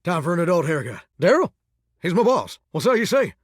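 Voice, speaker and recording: Living shower with country-singer dreams, American Southern drawl, high swooping delivery, folksy, earnest, flirty, video game character, dry studio sound. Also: male voice, sing-song, fairly quick, husky, dry recording, exaggerated voice. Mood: determined, folksy.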